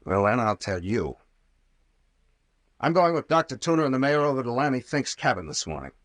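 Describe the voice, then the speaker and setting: A tough investigator from an old radio thriller, acted by a man. He speaks in a curt, skeptical, commanding way at a middling male pitch, recorded dry and close. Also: big pitch swings, fairly quick, dry recording, lightly acted. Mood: stern, firm. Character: tough, stern male private investigator, a captain. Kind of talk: 1940s radio acting.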